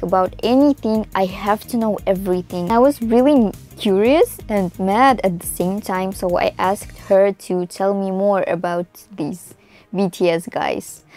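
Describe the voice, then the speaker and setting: A young woman with a Russian accent, raised speaking Russian and Tatar, talks casually and expressively as a vlogger. Her voice sits at an average pitch for a woman. Music plays faintly behind her as she speaks indoors. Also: dark tone, no echo. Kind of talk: casual introductory vlog to camera. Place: small room indoors.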